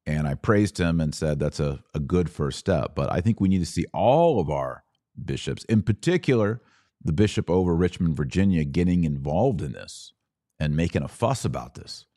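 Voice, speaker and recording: Male podcast host, very low voice, dry close sound, earnest and conversational, turns indignant. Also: sing-song, normal speed, warm tone, no echo. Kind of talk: livestream podcast reading viewer comments.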